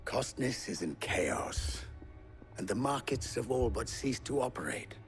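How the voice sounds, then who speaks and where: A stern merchant who has wearied of keeping the peace, in a dramatic game cutscene. He speaks formally and gravely at a middling pitch, over faint music. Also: very animated, unhurried. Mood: grave, weary.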